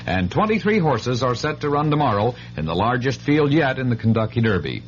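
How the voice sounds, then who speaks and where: An American man reads a scripted radio bulletin of news and sports. His medium-pitched voice is muffled, with faint noise behind it. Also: very animated, brisk. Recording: dull, faintly noisy radio broadcast.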